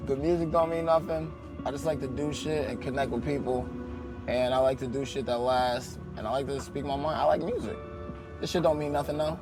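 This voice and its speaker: A man's voice: mid-range voice, steady tone, a little fast, music in the background, low-quality recording. A man, a rapper guest, talking bluntly and profanely in a casual interview. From Albany, New York.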